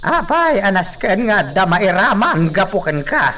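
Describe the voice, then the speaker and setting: A man's voice: sits high, big pitch swings, a little slow, noisy background. A man playing an alarmed, cautioning older village man in a radio drama. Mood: grave, urgent.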